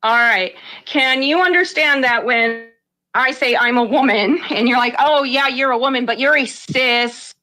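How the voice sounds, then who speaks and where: A woman's voice: high-pitched, unhurried, bright, forceful tone, rough recording. A woman over forty arguing bluntly in a heated online debate. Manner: blunt and argumentative. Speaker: debate participant.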